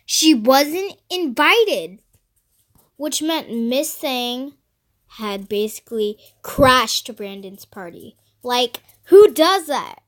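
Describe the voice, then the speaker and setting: A woman voicing an anxious young teenage girl who reads her diary in a melodramatic, emphatic audiobook style. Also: on the high side. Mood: indignant. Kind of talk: first-person audiobook diary narration.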